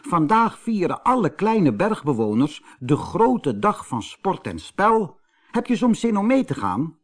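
A man voicing a cheerful little mountain gnome in a storybook, with a hearty, excited way of talking. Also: high voice, unhurried, pitched above the performer's own voice, full character voice. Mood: eager.

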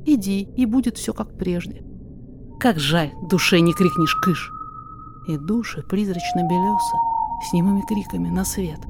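A woman narrator reading a poetic story aloud in expressive, measured, dramatic verse. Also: mid-range voice, slight background noise.

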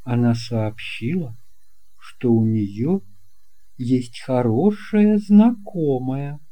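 A narrator reading a children's story in an expressive, measured storytelling manner. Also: medium pitch. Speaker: audiobook narrator.

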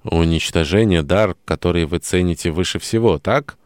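A man's voice: sits low, normal speed, no room sound, studio quality, lightly acted. A man voicing a wry, impatient male trickster hero in an audiobook, sardonic and commanding. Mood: commanding, scornful.

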